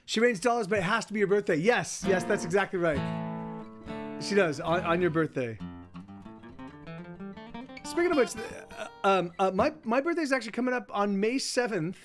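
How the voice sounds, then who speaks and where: High-voiced man in his forties rambling. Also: lively intonation, over music. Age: 40s. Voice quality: talks in halting restarts with frequent swearing. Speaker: livestream host chatting with viewers. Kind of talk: rambling casual chat.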